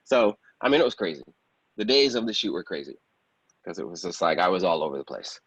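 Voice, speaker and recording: A man's voice: sits low, very animated, quick pace, fairly bright tone, husky. A man giving a casual interview as a guest, talking informally about his own work. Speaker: guest, actor and film producer. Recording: roomy echo, slightly muffled sound.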